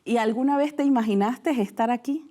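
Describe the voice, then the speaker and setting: Venezuelan woman hosting a faith talk show, fairly high-pitched, leans in with questions. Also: a little fast. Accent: Venezuelan Spanish. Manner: warm conversational questioning, frequent "mira". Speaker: host. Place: TV studio.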